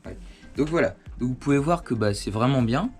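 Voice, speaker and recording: A man with a mid-pitched voice walks through a product in a casual, hands-on way. He sounds informal and conversational, explaining step by step as a video host would, recorded indoors with music in the background. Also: animated, brisk. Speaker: host of a motorcycle gear review channel. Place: indoors at home.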